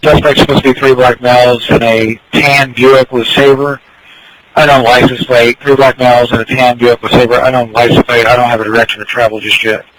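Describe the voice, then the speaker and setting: A man's voice: mid-range voice, little pitch movement, brisk. Guy dispatching cops over scanner radio, lots of noise and clicks, clipped procedural talk. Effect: police radio transmission. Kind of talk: police radio dispatch chatter.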